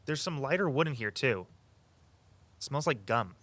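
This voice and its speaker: A man's voice: mid-range voice, expressive, unhurried, a bit bright, no room sound. A man playing a friendly, easygoing walking tour guide and history buff in an audio drama, casual and good-natured. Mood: curious.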